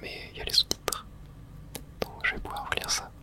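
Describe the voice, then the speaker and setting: An ASMR gaming host speaks entirely in a breathy whisper. The delivery is gentle and unhurried, set in short phrases close to the microphone. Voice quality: soft breathy unvoiced whisper. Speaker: video game streamer and host. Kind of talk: whispered ASMR game playthrough.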